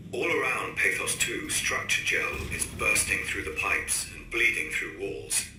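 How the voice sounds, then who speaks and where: An actor playing a grave, worried male scientist recording a deliberate, ominous audio log in a game. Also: fairly low pitch, sharp tone, exaggerated voice. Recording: echoey room with faint noise.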